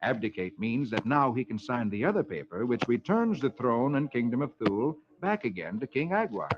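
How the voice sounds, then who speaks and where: A man hosting a 1940s radio programme, telling scripted comic-strip stories in a theatrical, animated way. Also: mid-range voice, moderate pace, over music. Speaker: radio comics reader, host. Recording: dull, noisy vintage radio recording.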